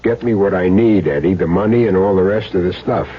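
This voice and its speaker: Low-voiced, cool hit man. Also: brisk, breathy edge. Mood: cool, instructing. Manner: terse, cool, sardonic. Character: calm, hard-boiled male hit man with wry streak. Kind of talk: old-time radio crime drama acting. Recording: noisy muffled old radio recording.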